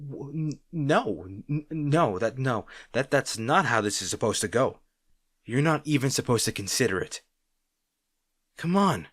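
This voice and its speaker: A man playing a snarky supervillain rival who secretly cares, in a dramatic roleplay monologue, teasing and sardonic, halting when flustered. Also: medium pitch, a bit bright, no echo, studio quality. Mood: flustered, disbelieving. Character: snarky male supervillain rival, secretly worried about his hero.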